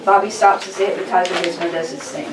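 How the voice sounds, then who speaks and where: A woman's voice: on the low side, fairly even intonation, fast talker. Echoey, distant woman narrating a script. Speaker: narrator reading stage directions. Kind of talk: table read of a sci-fi script. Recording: echoey room, distant mic, noisy background.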